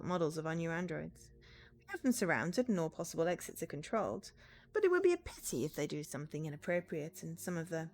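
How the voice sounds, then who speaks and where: A woman's voice: fairly low pitch, a little mellow, slight background noise, natural voice. A woman voicing a cold, imperious male villain in a cyberpunk game, formal and condescending.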